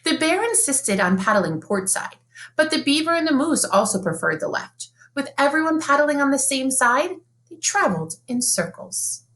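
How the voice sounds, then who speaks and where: A woman reads a picture book aloud to young listeners, her pitch swooping up and down as she tells the tale. Her delivery is sing-song and expressive, in the manner of a teacher at story time. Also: normal speed. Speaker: teacher reading aloud to children. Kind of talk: children's read-aloud storytelling.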